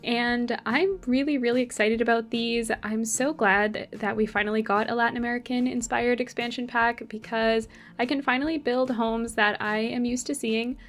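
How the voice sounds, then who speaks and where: A woman's voice: on the high side, fairly even intonation, normal speed. A young woman from Argentina, casually talking through a game build as channel host, rambling with many fillers and self-corrections. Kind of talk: casual build commentary over gameplay.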